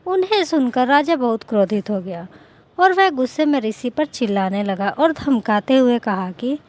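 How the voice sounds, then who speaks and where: Higher-pitched woman narrating a moral tale. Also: expressive, moderate pace. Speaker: storyteller, narrator. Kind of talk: scripted narration of a Hindi moral story.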